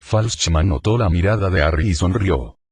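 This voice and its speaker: A man narrating a fan fiction audiobook in a steady, plain storytelling manner. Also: low-pitched, normal speed, husky.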